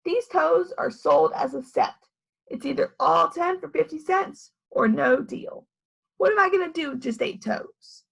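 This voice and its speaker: A woman's voice: sits high, unhurried, creaky, pitched above the performer's own voice, exaggerated voice, poor audio. A woman voicing an earnest, stubborn little schoolgirl in a storybook, emphatic and insistent.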